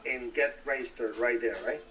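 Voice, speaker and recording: A man's voice: mid-range voice, fairly even intonation, normal speed, far from the mic, background noise. A man with Spanish as his native language, talking casually as a bilingual co-host on a live stream. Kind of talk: casual live-stream discussion, switching between English and Spanish.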